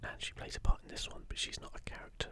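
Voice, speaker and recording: A man hosting an ASMR video in a breathy, unvoiced whisper close to the microphone, with hesitant, informal commentary. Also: fast.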